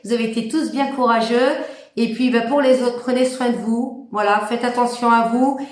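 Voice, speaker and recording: A woman with a high voice talks warmly and loosely to her viewers, full of fillers and restarts. The sound is roomy and echoey, as if she is streaming from a phone in a reverberant room. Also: steady tone, moderate pace. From France. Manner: warm, rambling, many fillers and restarts. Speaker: medium hosting a live stream. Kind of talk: casual live-stream chat.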